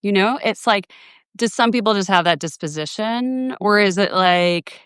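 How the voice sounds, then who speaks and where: A woman's voice: medium pitch, normal speed, fairly bright tone, clean tone, no echo, studio quality. A woman in her forties, hosting a casual live video conversation, reflective and thinking aloud with many restarts. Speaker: host. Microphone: video call.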